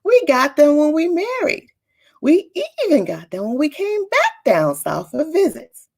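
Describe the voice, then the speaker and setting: A woman voicing a kind, proud old grandmother from a storybook, with a gentle, affectionate manner. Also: high-pitched, big pitch swings, a little slow, vocal fry, pitched above the performer's own voice, heavily acted. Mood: fond, reminiscing.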